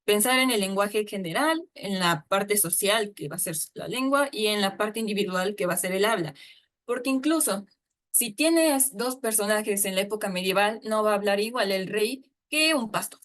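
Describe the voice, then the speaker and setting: A woman's voice: medium pitch, moderate pace, a bit bright. A woman giving an informal lecture on storytelling, chatty and full of asides and fillers. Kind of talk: informal online talk on storytelling.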